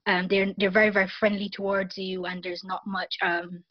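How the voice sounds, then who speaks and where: A woman's voice: mid-range voice, level tone, moderate pace, dry recording, lo-fi sound. A young woman from Barbados with a Barbadian accent, a guest talking casually in an interview, with many fillers and restarts.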